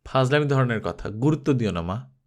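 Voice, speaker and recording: A man's voice: mid-range voice, animated, normal speed, close to an everyday voice. Man reading as a guarded, sardonic young woman. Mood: irritated, sardonic. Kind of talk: Bengali audiobook character acting.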